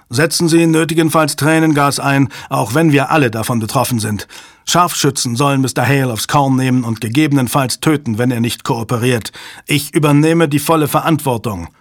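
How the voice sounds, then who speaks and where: A man's voice: mid-range voice, breathy edge, dry recording, clean studio sound. A man voicing a resolute older male commander in an audiobook, firm and authoritative, with clipped delivery. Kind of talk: dramatic audiobook character voice.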